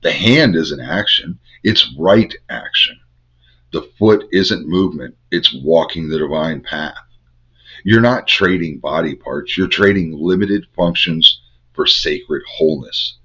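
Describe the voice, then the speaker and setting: An older man with a head cold, hosting a Bible study and teaching in measured, incantatory short phrases. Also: a bass voice, lively intonation, pushed and bright, slightly rough. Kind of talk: spiritual teaching monologue. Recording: dull lo-fi recording.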